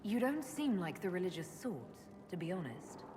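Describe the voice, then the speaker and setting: Female fantasy leader: measured, earnest, inquisitive game dialogue, long reverb, clear ambient noise. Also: on the low side, very animated. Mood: calm, curious. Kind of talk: video game character dialogue.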